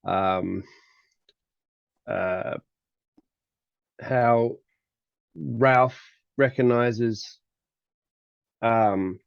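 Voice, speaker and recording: An Australian man co-hosting a fan podcast, chatting casually about books in a halting, hesitant way. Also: medium pitch, creaky, smooth, no room sound, dull audio. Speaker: podcast co-host. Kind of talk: casual book chat.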